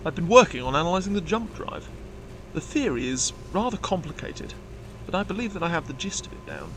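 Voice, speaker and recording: A man's voice: a little high, very animated, fairly quick, fairly bright tone, a little hiss or hum. A man playing a polite, bookish nonhuman crewmate in a science-fiction audio drama, speaking formally and precisely. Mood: keen, precise.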